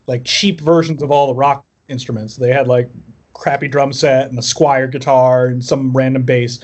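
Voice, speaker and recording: Higher-voiced man, self-deprecating casual storyteller. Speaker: musician guest on a podcast. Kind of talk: casual chat, personal storytelling.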